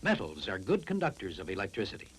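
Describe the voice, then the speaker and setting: A man reads scripted science narration for a classroom film, explaining in a deliberate, instructive way. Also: low-pitched, huge pitch swings, fairly bright tone, slight background noise, rough recording.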